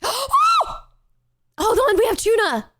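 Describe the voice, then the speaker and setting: A young British woman streaming a game, chatting casually with her chat and breaking into playful, excited exclamations. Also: very high-pitched, very slow, very noisy. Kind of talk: casual gameplay commentary to chat.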